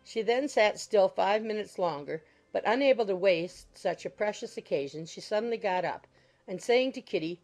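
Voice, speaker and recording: A woman narrating a classic novel in a measured, literary storytelling manner. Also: medium pitch, expressive, darkish tone. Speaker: audiobook narrator. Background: background music.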